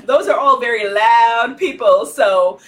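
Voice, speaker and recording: A woman from Guyana hosting an online spiritual festival on a livestream, loud and energetic, leading chants and cheering on viewers. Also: fairly high pitch, measured pace. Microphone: phone or webcam on a livestream.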